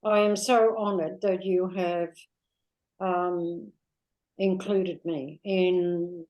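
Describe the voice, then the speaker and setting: An older Australian woman with an Australian accent, a guest in an interview, reflecting unhurriedly with many pauses and fillers. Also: on the low side, fairly even intonation, lo-fi sound. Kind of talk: warm reflective interview talk.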